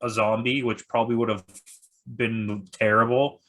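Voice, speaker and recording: A man's voice: fairly low pitch, level tone, moderate pace, a bit bright, rough recording. A man chatting casually and bluntly about films as a podcast host, with exasperated critiques. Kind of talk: casual opinionated chat.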